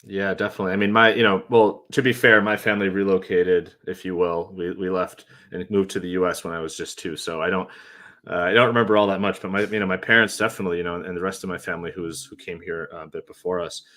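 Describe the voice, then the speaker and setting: Male podcast host, low pitch, bright edge, friendly and filler-heavy. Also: steady tone, fast. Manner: friendly, hesitant questioner with many fillers. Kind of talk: interview hosting.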